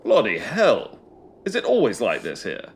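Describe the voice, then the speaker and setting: A teasing schoolboy wizard, acted by a man, full of flippant mock-posh banter. The delivery swings freely in pitch, in an audio drama with faint noise behind it. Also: wildly swooping pitch, a little slow, vocal fry, exaggerated voice.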